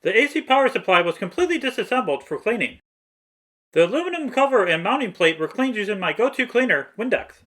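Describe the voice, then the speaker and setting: A man's voice: sits high, sing-song, quick pace, fairly bright tone. A man reading a scripted, step-by-step technical tutorial narration.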